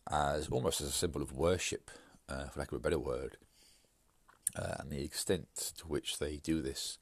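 A man with an extremely deep, low voice hosts his solo podcast, speaking in a measured, halting manner full of "uh"s and restarts. He sounds stuffy and bunged up from hay fever. The recording is close and dry. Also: no echo. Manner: measured, hesitant with fillers and restarts. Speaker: podcast host.

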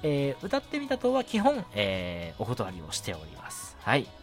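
A man's voice: medium pitch, huge pitch swings, moderate pace. A young man hosting a casual solo radio show, talking politely and chattily with frequent short affirmations. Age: young adult. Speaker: radio show host, amateur voice actor. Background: background music.

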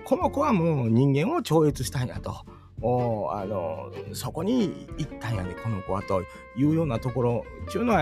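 A middle-aged man with a Kansai accent talks through a fairy tale, musing aloud and tossing questions to his listeners. His voice is gravelly and his pitch rises and falls a lot. Music plays clearly behind him. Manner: musing, chatty, rhetorical asides to listeners. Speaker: storytelling channel host. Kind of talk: casual spoken commentary on a fairy tale.

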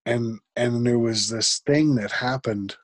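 A man, a guest in an interview, talking reflectively and haltingly, with frequent restarts. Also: medium pitch. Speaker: podcast guest. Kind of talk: conversational interview.

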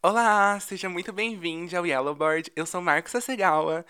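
A man's voice: high-pitched, normal speed, sharp tone, clean tone. A man with a Brazilian accent hosting a podcast in a casual, chatty way, effusive and slangy, given to playful exaggeration. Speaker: podcast host.

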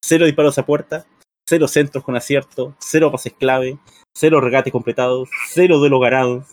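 A man with a Chilean accent bantering about a live football match. His voice sits at an ordinary male pitch, and the recording is close and dry. He teases and blows things up for comic effect. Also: steady tone, normal speed, a little mellow, no echo. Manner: jokingly exaggerated, teasing. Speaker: football commentator on a group broadcast.

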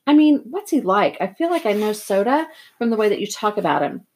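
A woman's voice: mid-range voice, big pitch swings, a little fast, a little mellow, breathy edge. A woman voicing a confident, strong-willed teenage girl in a storybook, direct and insistent in manner. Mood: curious, prodding.

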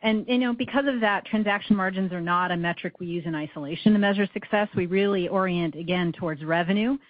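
A woman speaking as a finance executive on an earnings call, giving measured, businesslike explanations with short hesitations. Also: lowish voice. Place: conference phone call. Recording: slightly dull, dry call audio.

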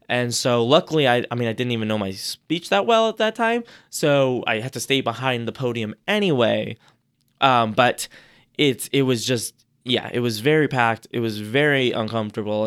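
A man giving casual advice on public speaking on a podcast, chatty and rambling, restarting his sentences often, his voice a little hoarse. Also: mid-range voice. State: slightly hoarse. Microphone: podcast microphone.

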